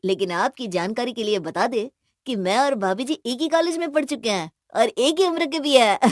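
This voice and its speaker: A woman's voice: fairly high pitch, normal speed, no echo, studio quality, lightly acted. A woman voicing a playful, boisterous young brother-in-law in an audio drama, jokey and mischievous.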